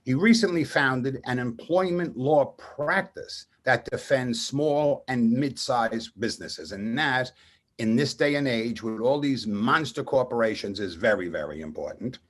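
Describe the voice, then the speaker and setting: A man's voice: medium pitch, lively intonation, a little slow. A man hosting a radio interview, talking in an emphatic, effusive and digressive conversational way. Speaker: radio show host. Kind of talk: conversational interview and guest introduction. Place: radio studio.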